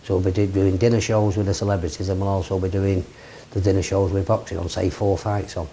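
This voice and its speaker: Middle-aged man, boxing promoter; low, mellow, plain-spoken, lapel mic in an office. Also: level tone, quick pace, a little hiss or hum. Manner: plain-spoken, rambling lists. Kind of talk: seated interview. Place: office with framed memorabilia.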